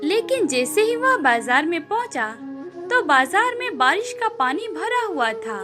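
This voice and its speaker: A woman narrates a cartoon moral tale in a very high voice. Her intonation is lively and varied, in an expressive storytelling style. Soft music runs underneath. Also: unhurried.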